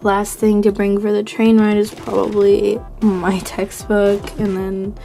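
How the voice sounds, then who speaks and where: A woman's voice: mid-range voice, monotone, unhurried, vocal fry, dark tone, faint music behind. A young woman vlogging into her phone camera, chatty and giggly, full of ums and likes, her voice still recovering from a cold. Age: young adult. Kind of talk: casual vlog chat.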